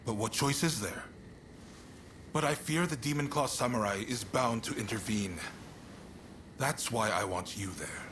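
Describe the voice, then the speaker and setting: An actor playing a composed, resolute samurai-era negotiator in a video game, speaking in a measured, assured way. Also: male voice, medium pitch, a bit bright, slight background noise, natural voice. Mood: resolute, calm. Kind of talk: dramatic video game cutscene acting.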